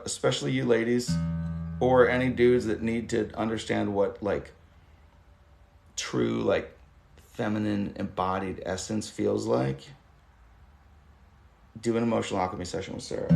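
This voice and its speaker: A hoarse man in his thirties hosting a casual livestream, talking loosely and swearing now and then. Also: mid-range voice. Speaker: livestream host. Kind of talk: rambling casual livestream chat. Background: guitar music.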